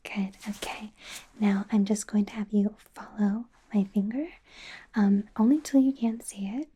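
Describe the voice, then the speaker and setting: A friendly, slightly bumbling female werewolf doctor, acted by a woman for an ASMR medical roleplay. She whispers softly and unhurriedly in a mellow tone, gently reassuring and often correcting herself. A faint noise sits behind the voice. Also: medium pitch, fairly even intonation, measured pace, natural voice. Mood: gentle, attentive. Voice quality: soft breathy whisper.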